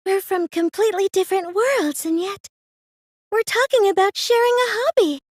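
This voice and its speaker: A woman voicing a soft-spoken, excitable teenage cosplay fangirl in an anime game, with a hesitant, girlish and theatrically emotive delivery. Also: very high voice, normal speed, dry recording, studio quality. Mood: gentle, hesitant.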